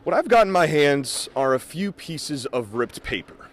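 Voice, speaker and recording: A man working as a sports announcer and reporter, delivering highlights and reports in a punchy, emphatic broadcast style. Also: big pitch swings, a little slow, a little hiss or hum.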